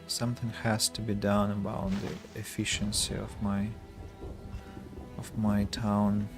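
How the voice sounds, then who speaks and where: A young adult man from Russia with a Russian accent, streaming a strategy game and chatting with viewers, choosing his words slowly and carefully with frequent restarts. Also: lowish voice, completely flat delivery, vocal fry, over music. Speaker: streamer and English teacher. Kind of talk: casual gameplay streaming chat.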